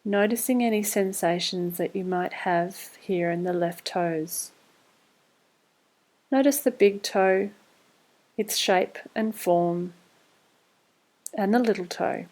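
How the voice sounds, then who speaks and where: A woman leading a guided body scan meditation, giving scripted instructions in a calm, measured, soothing way. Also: medium pitch.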